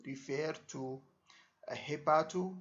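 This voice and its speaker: A man's voice: on the high side, normal speed, a bit bright. A man giving an informal exam lesson as a tutor, repeating himself and checking understanding with short tags. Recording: dull lo-fi microphone sound.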